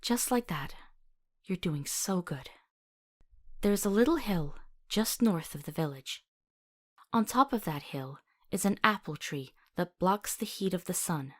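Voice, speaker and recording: A woman's voice: fairly low pitch, animated, a little slow. A woman playing a compassionate young doctor in a visual novel, talking tenderly and coaxingly as if telling a soothing story.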